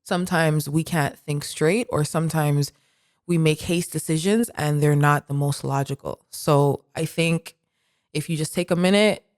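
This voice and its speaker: Low-voiced woman talking reflectively. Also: unhurried, dry recording, clean studio sound. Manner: reflective, animated, many "like" fillers. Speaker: podcast co-host. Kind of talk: casual conversation.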